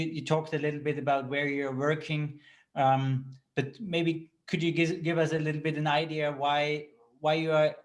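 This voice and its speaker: A man hosting a conversational interview, speaking haltingly and repeating words as he forms questions. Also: fairly high pitch. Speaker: interview host.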